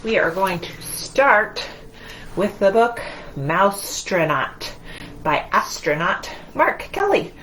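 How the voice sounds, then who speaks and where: Slow, animated woman leading children's storytime. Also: medium pitch, a little hiss or hum, low-quality recording. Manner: encouraging, singsong address to young children. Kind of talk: children's storytime with movement and read-aloud.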